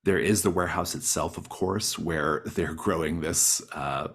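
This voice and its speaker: A man's voice: low-pitched, steady tone, measured pace, a bit bright, breathy edge. A man speaking casually and encouragingly as a game master, explaining rules and setting to his players. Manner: encouraging, affirming players' ideas.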